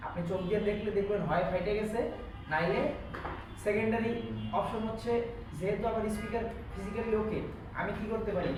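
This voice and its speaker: Fast-talking Bangladeshi male instructor, echoey room. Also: high voice. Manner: explanatory, often asking check questions. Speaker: electronics repair instructor. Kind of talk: interactive classroom teaching. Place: classroom, indoors. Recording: echoey room, distant mic, background noise.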